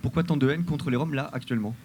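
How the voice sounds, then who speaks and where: A man moderating a panel debate, brisk and informal as he hands out the questions. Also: medium pitch, mellow.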